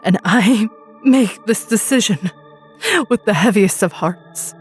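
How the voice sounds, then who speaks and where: A grief-stricken woman president, acted out by a female storyteller, addresses the nation formally. She is solemn, halting and tearful, with music underneath. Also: medium pitch, a little mellow, lightly acted. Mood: heavy-hearted, resolute. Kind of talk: formal televised speech in a story reading.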